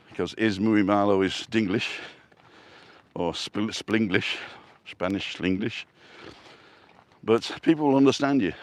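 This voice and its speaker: A man with a Yorkshire accent, chatting and joking on a live walk outdoors by the sea. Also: on the low side. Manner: chatty, joking, reads viewer comments aloud. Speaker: vlog host. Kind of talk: casual live-stream walk chat. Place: outdoors on a seaside walkway.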